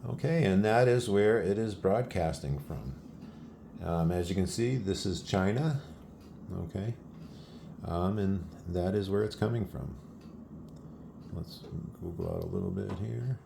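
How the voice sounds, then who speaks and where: A man's voice: low voice, a little slow, vocal fry, long pauses. A man giving a casual, unscripted narrated demonstration as a radio hobbyist host, explaining things informally. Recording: loud shortwave radio static and noise. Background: shortwave radio broadcasts and static.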